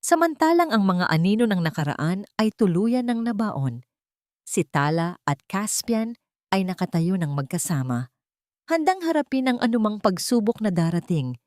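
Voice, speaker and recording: A woman's voice: mid-range voice, big pitch swings, normal speed, a little mellow, no echo, studio quality. A woman with a Filipino accent reading a scripted dramatic story as an audiobook narrator.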